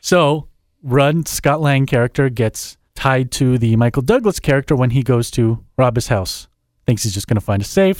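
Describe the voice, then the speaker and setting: Critic guest: a man of middle pitch, wry and deadpan, quick asides, bone-dry studio mic. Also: no room sound. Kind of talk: casual chat, plot summary.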